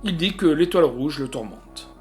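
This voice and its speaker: A man narrating a literary short story in an expressive, theatrical way with deliberate phrasing. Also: fairly high pitch, soft background music.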